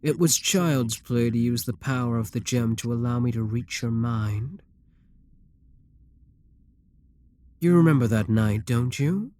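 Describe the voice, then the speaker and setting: A man playing an arrogant, seductive demon king in a dramatic ASMR roleplay, speaking in a deliberate, commanding, theatrical way. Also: mid-range voice, a little mellow, natural voice. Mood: smug, menacing.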